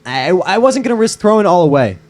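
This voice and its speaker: A man reading the part of a calm, teasing young man and close friend at a live screenplay table read. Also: high voice, fast. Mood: anxious, confessing.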